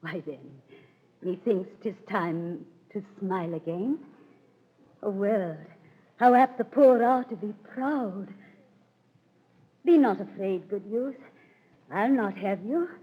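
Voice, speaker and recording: A woman playing a proud, yearning highborn lady, with stately verse delivery in 1930s radio Shakespeare style. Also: slow pace, pauses often, slightly rough. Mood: wounded pride. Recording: thin, phone-like 1930s radio recording.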